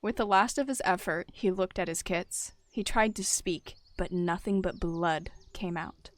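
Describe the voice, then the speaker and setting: A woman's voice: lowish voice, measured pace, dry recording. Girl narrating a cat rp, laid-back, lots of ums. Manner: casual with fillers in the outro. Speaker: roleplay host and narrator. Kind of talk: story narration of a roleplay.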